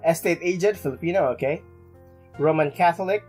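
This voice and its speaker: Let's play host: young male, fairly high voice, halting and rambling delivery, game music behind. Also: darkish tone. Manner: halting, stumbling, rambling, mild swearing. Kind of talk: casual game commentary, reading on-screen text aloud.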